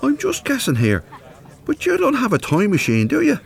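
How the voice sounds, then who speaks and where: An actor playing a blunt, sceptical older man with an Irish accent in a radio drama, full of deadpan sarcasm. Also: very high voice, moderate pace, gravelly, exaggerated voice. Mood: sceptical, sarcastic.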